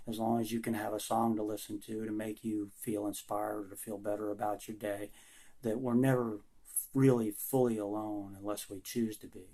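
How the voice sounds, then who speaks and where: A man who is losing his voice answers interview questions about writing song lyrics, speaking in a halting, thoughtful and sincere way with many pauses. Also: lowish voice, little pitch movement, mellow. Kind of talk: recorded self-interview answers.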